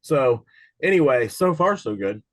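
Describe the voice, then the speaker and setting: A man with a slightly raspy voice from a cold, running a casual live online study session for an insurance exam and talking in a loose, rambling way with many fillers. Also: mid-range voice, very animated, a little slow, no room sound. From Cincinnati, USA.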